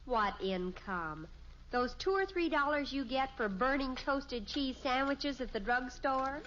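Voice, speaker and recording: An actress playing a dramatic, sharp-tongued American teenage daughter in a radio sitcom, speaking emphatically. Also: female voice, high voice, animated, unhurried. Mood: scornful. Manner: overdramatic, emphatic. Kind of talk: 1950s radio sitcom acting. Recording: muffled old radio recording, faint hiss.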